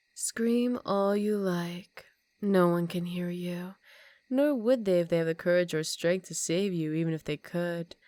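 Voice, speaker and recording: Low, teasing vampire countess acted by a woman. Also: a little mellow, dry recording, natural voice. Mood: amused, possessive. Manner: slow, teasing, condescending, with breathy half-whispers. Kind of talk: ASMR roleplay.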